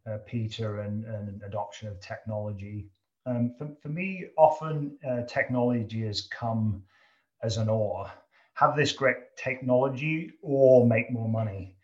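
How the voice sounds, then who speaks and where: A man from the UK with a British accent, a company chief executive answering interview questions in a halting way, often restarting and repeating words. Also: mid-range voice, highs cut off. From United Kingdom. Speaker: company CEO, guest. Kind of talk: business interview.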